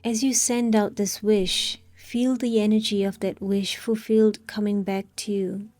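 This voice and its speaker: Female meditation guide: soft, warm, relaxed timbre, mid pitch, calm scripted delivery with long pauses. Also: no room sound.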